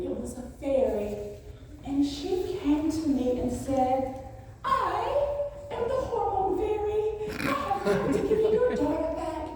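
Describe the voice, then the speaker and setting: A middle-aged woman giving a humorous storytelling speech on stage, theatrical, with dramatic pauses and emphasis. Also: mid-range voice, mellow. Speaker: speech contest speaker. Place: large indoor hall, before an audience. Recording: very echoey hall, distant mic, faint noise.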